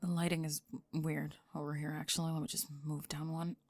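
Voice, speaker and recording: A woman's voice: low voice, flat delivery, darkish tone, no room sound, clean studio sound. A young woman acting out a prickly, flustered goth girl student with a secret crush in an ASMR roleplay, sarcastic and defensive. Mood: aloof, defensive. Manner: sarcastic, defensive, halting and stammering when flustered. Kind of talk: ASMR roleplay acting, one-sided dialogue.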